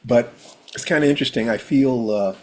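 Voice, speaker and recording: A man with a husky, rough-edged voice talks loosely to his live-stream audience from home. He rambles, fills pauses and keeps clearing his throat. His pitch sits in an ordinary middle range for a man. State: rough, hoarse voice. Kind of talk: casual live-stream monologue.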